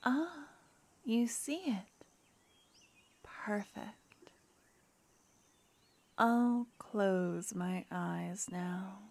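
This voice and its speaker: Gentle necromancer girlfriend voiced by a woman, soft, intimate, drifting into whispers, very slow. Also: medium pitch, fairly even intonation. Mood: tender. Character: gentle young female necromancer girlfriend, a politician. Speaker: ASMR roleplay performer. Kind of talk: ASMR romantic fantasy roleplay.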